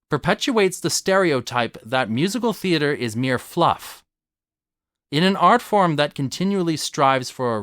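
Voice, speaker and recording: Formal male video essayist, lively intonation. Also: mid-range voice, no room sound, studio quality. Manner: formal, earnest, articulate phrasing. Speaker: video essayist and channel host. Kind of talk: scripted opinion video essay.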